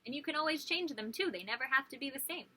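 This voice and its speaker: High, bright woman reading to children. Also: quick pace. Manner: animated, questioning, addressing young children. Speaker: pre-kindergarten teacher. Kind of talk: children's story time read-aloud.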